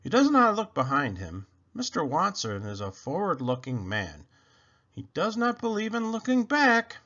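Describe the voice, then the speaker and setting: A man reading a children's storybook aloud with expressive narration. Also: on the high side, normal speed, dull audio.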